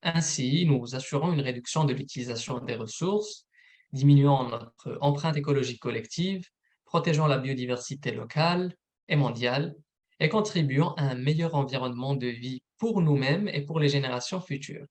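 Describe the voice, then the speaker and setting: A man, a program manager, presenting a recorded informational webinar in a steady, methodical read. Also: mid-range voice, moderate pace.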